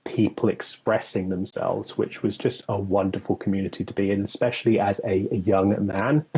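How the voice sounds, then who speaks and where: A husky, fairly low-voiced young man from England rambles through a casual live chat, leaning on filler words and laughing easily. sic: no mid-sentence commas needed His voice has a slightly rough edge. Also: fairly even intonation, moderate pace, dark tone, low-quality recording. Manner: chatty, rambling, laughs often, many "kind of" fillers. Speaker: co-host.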